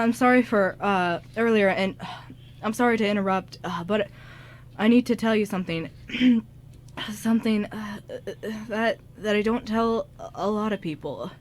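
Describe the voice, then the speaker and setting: A woman's voice: mid-range voice, unhurried, natural voice. A woman playing a shy young male musician in an amateur radio drama, speaking hesitantly with many stammers. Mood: awkward, apologetic. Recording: light background noise.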